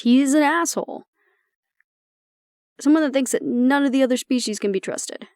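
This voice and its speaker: A woman's voice: a little high, darkish tone, no echo, clean studio sound. A woman acting in an audio roleplay as an exasperated, blunt agent, talking intimately and sardonically to the listener. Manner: blunt, sardonic, conversational, swearing. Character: exasperated woman agent venting about her stubborn, disapproving father.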